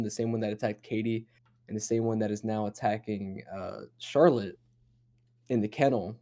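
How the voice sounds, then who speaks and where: A man co-hosting a casual horror chat show, with a dark, relaxed voice at an everyday pitch. He theorizes in a rambling, loose way and swears freely. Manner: rambling, profane, speculative. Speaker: host of a horror discussion show. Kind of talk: casual chatty analysis of horror lore.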